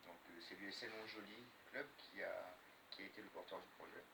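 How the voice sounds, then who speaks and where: Deep-voiced man, noisy recording. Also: steady tone, fast talker, vocal fry, recorded from a distance. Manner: hesitant, repeats words while explaining. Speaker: sports league official being interviewed. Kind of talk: spontaneous interview answers. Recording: noisy, off-mic radio interview.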